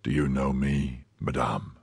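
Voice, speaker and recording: A man voicing a stern, brooding nobleman who speaks slowly, lowly and menacingly in a quiet, husky voice. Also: steady tone, dry recording, clean studio sound, heavily acted. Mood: coldly menacing. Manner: slow, low and menacing, quietly bitter. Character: stern brooding male nobleman, cold and grave.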